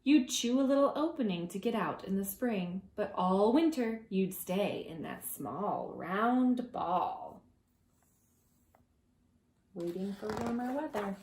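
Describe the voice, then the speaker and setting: Slow-paced woman reading a storybook, echoey room. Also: mid-range voice, animated, a little hiss or hum. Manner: gentle, deliberate, drawing out words for young listeners. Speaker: preschool teacher reading a picture book. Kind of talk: expressive children's storytime read-aloud with conversational asides.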